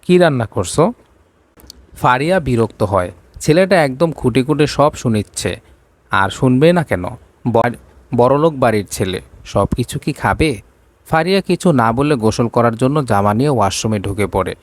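A man's voice: mid-range voice, animated, normal speed, no room sound. A man narrating a scripted romantic drama story.

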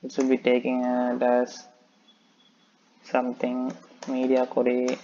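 A man's voice: mid-range voice, monotone, slow, warm tone, lo-fi sound, slight background noise. A man talking through a coding tutorial, explaining step by step and thinking aloud with frequent "okay". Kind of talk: screen-recorded coding tutorial.

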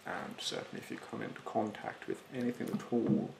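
A young man playing a rude, snobbish, fastidious facial therapist in a soft, half-whispered ASMR roleplay, haughtily polite and hesitant. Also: medium pitch, flat delivery, vocal fry, roomy sound, background noise. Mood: fussy, instructive. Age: young adult. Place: bedroom, indoors. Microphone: close mic in front.

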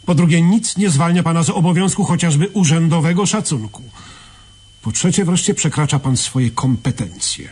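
Pompous lawyer, radio play, raspy tone, slightly high pitch, formal, orotund, protective. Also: male voice, lively intonation, normal speed, darkish tone. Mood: indignant. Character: pompous, indignant male defence lawyer shielding his client.

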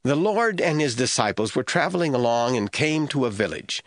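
A man's voice: medium pitch, big pitch swings, normal speed, no room sound, studio quality. A man giving a scripted, dramatic narration of scripture as the narrator of an audio drama.

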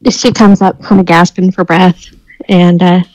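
A woman's voice: lowish voice, flat delivery, measured pace, darkish tone, dry recording, muffled recording. A woman playing a tabletop role-play, describing her character's actions hesitantly. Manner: hesitant, with fillers. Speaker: player.

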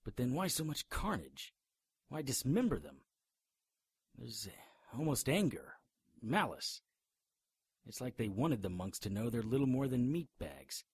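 An actor playing a hard-boiled, sardonic hero in a radio drama, with a wry, grimly observant manner. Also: male voice, medium pitch, very animated, unhurried, pauses often, slightly rough, dry recording, studio quality, exaggerated voice. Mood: brooding.

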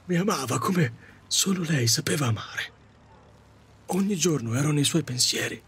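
A man's voice: a little high, expressive, fairly bright tone, slight background noise. Man acting grieving, regretful widowed taxi driver. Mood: grieving, remorseful. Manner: halting, confessional, with repetitions. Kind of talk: Italian audio drama monologue.

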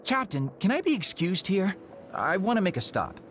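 Breezy, swooping young-soldier banter. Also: male voice, sits high, sing-song, normal speed, a bit bright, faint music behind. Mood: teasing. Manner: flippant, casual, playful. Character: breezy, teasing male veteran soldier. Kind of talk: anime game voice acting.

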